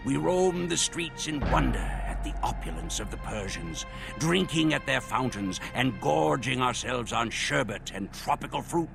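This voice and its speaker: A man narrating a war campaign in solemn, measured scripted narration for game cutscenes. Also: mid-range voice, very animated, creaky. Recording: game cutscene audio with loud music.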